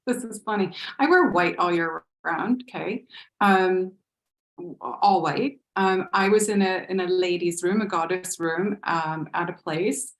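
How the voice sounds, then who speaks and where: An American woman telling lively stories on a panel, often breaking into laughter. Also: medium pitch. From United States. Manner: animated, laughs often, storytelling. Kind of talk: casual panel discussion. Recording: echoey room.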